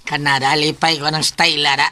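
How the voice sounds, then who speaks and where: A man's voice: fairly high pitch, little pitch movement, fairly quick, background noise, lightly acted. A man playing a chatty, teasing friend in a Filipino radio drama, with a Cebuano accent.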